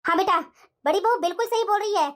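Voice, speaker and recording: A woman's voice: extremely high pitch, fast, a bit bright. A woman playing an indignant older mother-in-law in a family melodrama. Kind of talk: melodramatic family story dialogue.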